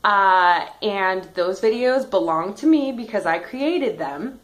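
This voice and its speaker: A woman's voice: mid-range voice, expressive, slow pace. A woman speaking in a mocking, condescending tone in a clip from her own video. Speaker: YouTuber in a clip. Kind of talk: scornful video commentary.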